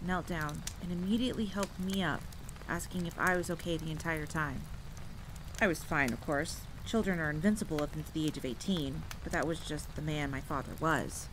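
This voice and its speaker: A woman playing a lowish-voiced campfire storyteller. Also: background noise, close to an everyday voice. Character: vampire hunter woman telling a painful childhood story by campfire. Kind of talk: scripted first-person storytelling, audio roleplay. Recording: clear rain and thunder ambience behind voice. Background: rain and rolling thunder.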